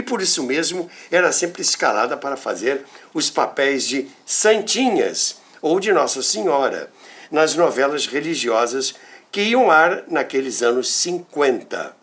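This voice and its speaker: A man with a Brazilian Portuguese accent narrating scripted stories in a theatrical, deliberate way as a show host. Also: on the high side, fairly bright tone. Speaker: host and storyteller of a radio history show.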